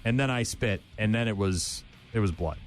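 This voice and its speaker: A middle-aged man hosting a morning radio show, telling stories in blunt, joking banter that gets animated. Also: lowish voice, normal speed, soft background music. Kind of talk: casual on-air banter.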